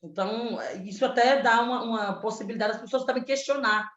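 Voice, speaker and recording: Woman, 30s, from Pernambuco, political candidate, earnest activist manner, high-ish voice, echoey dull video-call sound. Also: brisk. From Pernambuco, Brazil. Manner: earnest, activist, frequent "né?" tags. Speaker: candidate for state legislature. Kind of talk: panel debate answer.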